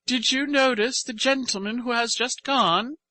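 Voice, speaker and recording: A woman voicing a self-important orphanage matron, formal and measured, in an audiobook. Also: a little high, sharp tone, no echo, pitched above the performer's own voice. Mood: affable. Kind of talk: audiobook character voice.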